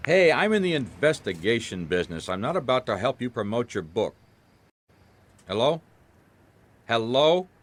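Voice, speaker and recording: An actor playing a world-weary, wry private detective with an American accent in a noir radio drama, dry and hard-boiled in dialogue and narration. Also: male voice, medium pitch, sing-song, normal speed, long pauses. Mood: irritated.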